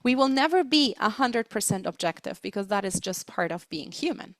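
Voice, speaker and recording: A woman presents an online training lecture in a deliberate, explanatory way. She leans on key words to make each point. Her mid-pitched voice is recorded close and dry, with very little room sound. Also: expressive, no room sound. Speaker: webinar presenter, interpreting trainer.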